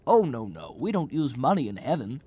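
A man playing a gentle, naive old angel in a radio drama, earnest, quaint and a little prim. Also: medium pitch, very animated, a little fast, breathy edge. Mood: hopeful.

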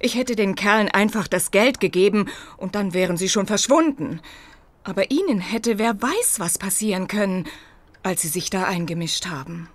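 A woman's voice: mid-range voice, normal speed, lightly acted. An actress playing a sensible, self-possessed lady of the house, polite but firm, in a radio play. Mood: grateful, reproving. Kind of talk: German radio play acting.

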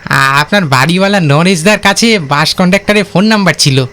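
A man's voice: high-pitched, brisk, pushed and bright. A man voicing a helpful, nervous village van driver in a cartoon, deferential and hesitant, repeating his words. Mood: explaining kindly. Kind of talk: cartoon voice acting.

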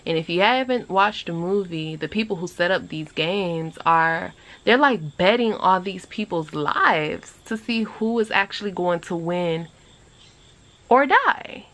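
A woman in her twenties chatting casually to a phone camera on an outdoor porch, animated and rambling. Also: a little slow, low-quality recording. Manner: animated, chatty, rambling with lively pitch jumps. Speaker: vlogger. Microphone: phone camera at arm's length.

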